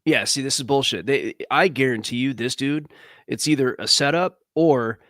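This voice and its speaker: A man's voice: medium pitch, normal speed, a bit bright, no room sound, studio quality. A man arguing bluntly on a podcast, skeptical and contrarian, swearing casually. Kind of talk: heated podcast debate.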